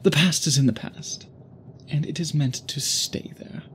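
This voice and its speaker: A man playing an obsessive, tender vampire suitor in an intimate ASMR roleplay, speaking in formal, gently doting phrases. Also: fairly high pitch, unhurried, background noise, natural voice. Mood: melancholy, earnest.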